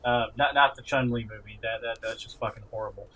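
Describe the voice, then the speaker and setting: A man co-hosting a casual podcast, halting and sweary, often stammering and repeating his words. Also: mid-range voice, steady tone, a crowd in the background, poor audio. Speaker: podcast co-host. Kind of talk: casual group chat about films and games.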